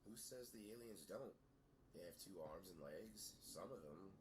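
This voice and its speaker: A man's voice: low-pitched, animated, measured pace. An actor playing a cool, skeptical young guy with a dry, laid-back manner in an audio drama. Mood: dry, guarded. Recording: clear background noise.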